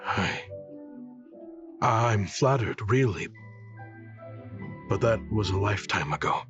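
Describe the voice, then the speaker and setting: Game casting: remorseful desert uncle, lowish and slow, weary, slightly muffled under clear music. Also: male voice, lively intonation. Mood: resigned. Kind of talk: video game voice acting.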